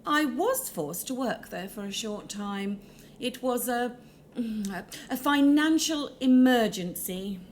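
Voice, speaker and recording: Grand, self-important ghost diva played by a woman, very lively pitch, unhurried, noisy recording. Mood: wistful, boastful. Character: vain theatrical ghost, a former opera singer. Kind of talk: audio drama acting. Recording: noticeable background noise.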